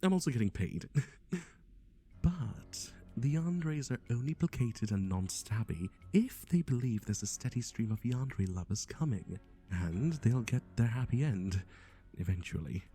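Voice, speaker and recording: A man's voice: mid-range voice, lively intonation, unhurried, warm tone, faint music behind. A man playing a chatty, nervously cheerful, shady matchmaker in an ASMR roleplay, with a theatrical, sardonic delivery and hesitant asides. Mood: sardonic, insistent.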